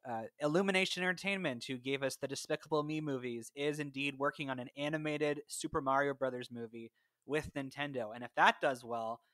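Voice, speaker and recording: A man's voice: medium pitch, steady tone, fairly quick, fairly bright tone, dry recording. A man hosting a podcast in a conversational style on a close mic. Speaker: podcast host. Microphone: close personal mic, remote recording.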